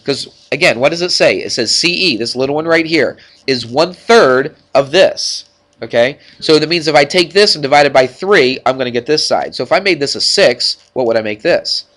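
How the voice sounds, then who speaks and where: A man's voice: fairly high pitch, expressive, normal speed, a bit bright. Congested man teaching math informally. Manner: informal, checking with frequent questions. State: congested, stuffed-up nose. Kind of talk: classroom worked-problem lesson. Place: classroom.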